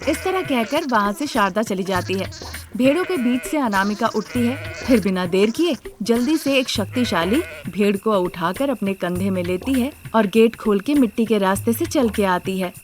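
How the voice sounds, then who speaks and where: A woman's voice: mid-range voice, animated, moderate pace, a little hiss or hum. A woman reading scripted story narration as a narrator.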